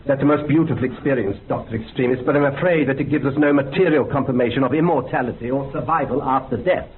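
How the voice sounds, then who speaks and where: An actor playing a courteous, sceptical investigator with a formal, precise manner in a radio drama. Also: male voice, mid-range voice, fast talker, lightly acted. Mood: polite, sceptical. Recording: tinny narrow-band radio sound, faint noise.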